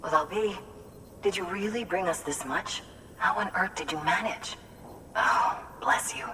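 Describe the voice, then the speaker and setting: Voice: medium pitch, unhurried, bright, forceful tone. A performer playing a kindly, earnest farmer in a video game, speaking in a folksy, effusive way. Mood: grateful, amazed. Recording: distant from mic, faint ambient noise.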